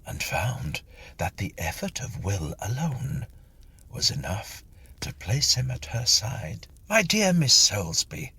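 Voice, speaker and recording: A man narrates a ghost story with theatrical care. His voice is deep and gravelly, with a bright, forward edge. He shapes each phrase precisely, like a practised literary storyteller. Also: big pitch swings, slow, no echo.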